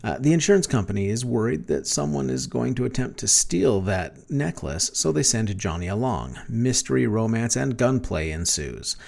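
A man's voice: medium pitch, big pitch swings, normal speed, vocal fry, hardly any pauses, darkish tone. A man hosting a podcast, giving a scripted introduction. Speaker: podcast host.

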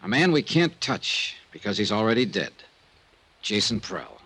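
An actor playing a shrewd, world-weary investigator in an old time radio serial, clipped and matter-of-fact, narrating and questioning. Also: male voice, lowish voice, very animated, unhurried, a bit bright, slightly rough, full character voice. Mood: firm, probing. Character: shrewd, world-weary male investigator who narrates his own case. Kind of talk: old time radio detective acting, part dialogue, part narration. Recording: old radio recording with music.

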